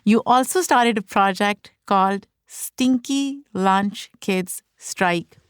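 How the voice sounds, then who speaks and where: Slow, clear-voiced woman podcast host. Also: medium pitch, no room sound, studio quality. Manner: probing, prompts the guest to say more. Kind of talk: interview questions.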